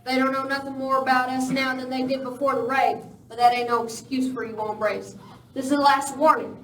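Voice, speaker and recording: Actress as tough female Western gang boss, high voice, curt and threatening, live radio play in an echoey room. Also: little pitch movement, brisk, a bit bright. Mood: stern, commanding. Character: tough, ruthless young woman boss of Western outlaws. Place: live performance in a village venue. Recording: echoey hall, distant mic, faint noise.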